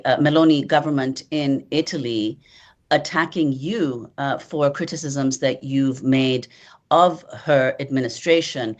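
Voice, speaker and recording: A woman speaking as a moderator in a measured broadcast style. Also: sits low. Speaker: host, moderator.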